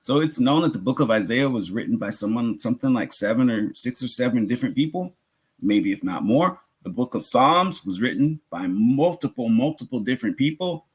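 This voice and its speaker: Male preacher, Islamic lecture, husky voice, lively swooping intonation, explanatory, emphatic repetition, rhetorical asides. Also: mid-range voice, brisk. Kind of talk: religious lecture, teaching.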